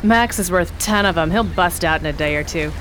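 A woman's voice: fairly low pitch, brisk, a bit bright, lightly acted. A woman playing a tough, slangy dame. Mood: knowing. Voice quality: streetwise slangy drawl. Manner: blunt, knowing, protective. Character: tough, worldly woman adventurer on a coaster. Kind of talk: radio drama acting. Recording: noisy hissy recording.

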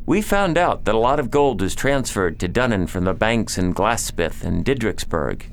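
A man's voice: fairly low pitch, lively intonation, moderate pace, slight background noise. A man playing a sober informant who delivers factual reports in a science-fiction audio drama, in a matter-of-fact way.

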